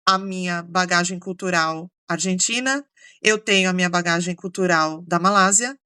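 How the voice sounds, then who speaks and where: Bright-voiced Brazilian woman host. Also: on the low side, normal speed, clear, resonant tone, dry recording, clean studio sound. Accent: Brazilian Portuguese. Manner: chatty, storytelling with many fillers. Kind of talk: casual conversation.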